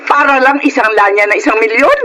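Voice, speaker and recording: A woman's voice: on the high side, fairly quick, pushed and bright, a little hiss or hum. A Filipino woman acting an indignant middle-aged wife and mother in an emotional radio drama, scolding and fed up with her in-laws. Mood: indignant, upset.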